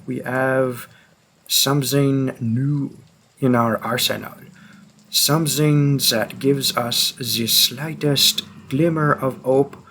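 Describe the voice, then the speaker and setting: A grim vampire hunter, acted by a man with an affected French accent speaks straight to the listener in an audio roleplay. The delivery is slow, deliberate and driven, at a middling pitch, over audible background noise. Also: a bit bright. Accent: attempted French. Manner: slow, deliberate, halting intensity.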